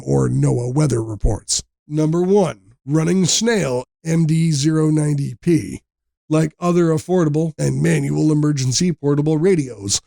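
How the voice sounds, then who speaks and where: A man narrates a scripted product review in a close, dry recording. His voice sits a little high for a man and has a noticeable vocal fry. He explains things in a measured way. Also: dark tone, dry recording.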